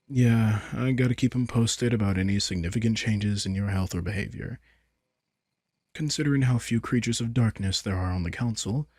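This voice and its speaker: A man as a gravelly, smug vampire king. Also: on the low side, no echo. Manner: casual, teasing, softly condescending. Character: vampire king, male, smug, casual, manipulative charmer. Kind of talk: intimate roleplay audio drama.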